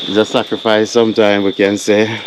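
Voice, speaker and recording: A man's voice: on the low side, flat delivery, fairly quick. A Jamaican man being interviewed, talking casually and often repeating his affirmations. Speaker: local man interviewed about a river search. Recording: noisy outdoor ambience.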